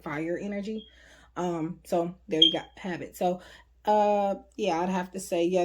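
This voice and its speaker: A woman giving a casual, rambling tarot reading to her viewers, her voice going a bit hoarse. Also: fairly low pitch, a little mellow. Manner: chatty, rambling, frequent fillers. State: voice getting hoarse. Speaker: tarot reader.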